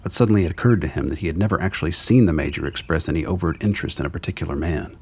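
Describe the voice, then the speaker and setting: A man's voice: a bass voice, racing, slightly rough. A man reading scripted dramatic narration for a radio play.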